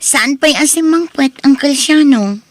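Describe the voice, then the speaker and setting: Casting: actress for worn, plaintive village wife, Ilocano accent, radio drama, dry recording. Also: female voice, high-pitched, normal speed, no room sound, close to an everyday voice. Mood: worried, plaintive. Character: long-suffering wife of a drinking man. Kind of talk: amateur Ilocano radio-style drama acting.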